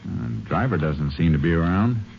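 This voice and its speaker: A steady Texas Ranger. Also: male voice, low voice, moderate pace, soft background music. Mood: matter-of-fact. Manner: clipped, matter-of-fact. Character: steady male Texas Ranger lawman, calm and dry. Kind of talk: 1950s radio drama acting. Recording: dull, noisy old radio recording.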